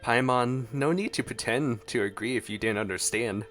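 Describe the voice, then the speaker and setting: A male streamer reacts casually to a game. His mid-pitched voice is playful and gushing, with faint music underneath. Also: moderate pace, fairly bright tone. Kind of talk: casual gameplay commentary.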